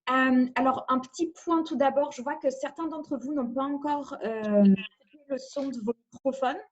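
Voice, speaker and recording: A woman's voice: high voice, steady tone, normal speed, muffled recording. A woman from France, an immigration lawyer, giving a webinar presentation over a video call, explaining carefully with frequent hesitations.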